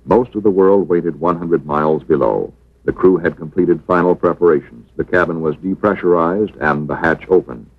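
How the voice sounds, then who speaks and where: A man reads scripted documentary narration in a measured, matter-of-fact manner. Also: low-pitched, slightly rough. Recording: dull vintage 1960s film soundtrack.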